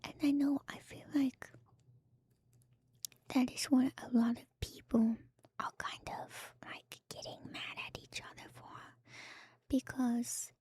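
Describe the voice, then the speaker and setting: A woman streamer whispering softly and breathily close to the mic in an ASMR style, gently repeating words as she reads and comments. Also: high-pitched, steady tone, slow pace, long pauses, husky, dry recording. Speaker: VTuber streamer.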